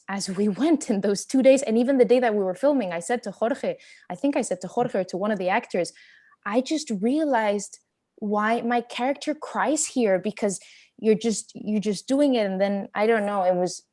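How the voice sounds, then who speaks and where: A woman, an actress and interpreter, speaking off the cuff and haltingly as she relays what others say in a post-show conversation. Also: mid-range voice, darkish tone. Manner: hesitant, halting while translating.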